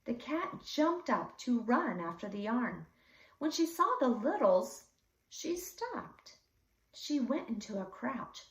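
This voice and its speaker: A woman reading a children's story aloud expressively, with deliberate, dramatic emphasis for young listeners. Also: a little high, very animated. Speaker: read-aloud storyteller for schoolchildren. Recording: echoey room, slightly distant mic.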